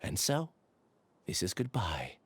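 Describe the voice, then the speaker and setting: An actor playing a troubled, sincere male researcher in a video game, speaking in an earnest, measured, solemn way. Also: low voice, big pitch swings, background noise, full character voice. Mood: resigned, solemn.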